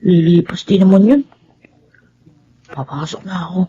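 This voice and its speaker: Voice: mid-range voice, moderate pace, dark tone, slightly rough, dull audio. An actor playing a sulky, defiant schoolboy with a Filipino accent and a whiny, sullen delivery in a radio drama. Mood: sulky, annoyed. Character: sulky, defiant schoolboy, a troublesome younger son.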